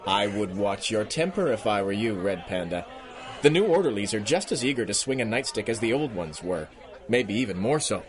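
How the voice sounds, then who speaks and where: An actor playing an arrogant, vindictive criminal genius as a judge, pompous and imperious, in radio serial style. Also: male voice, on the low side, sing-song, normal speed, some chatter behind. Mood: smugly menacing. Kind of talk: 1930s radio serial acting.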